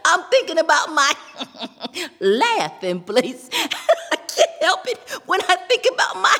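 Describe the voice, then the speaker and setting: A woman voicing a gleeful, wily male trickster rabbit in a folk tale, high and squealing with giggles, in an American Southern accent. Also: a little fast, heavily acted. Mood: helpless laughter. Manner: folksy, excitable, coaxing. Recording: long hall reverb.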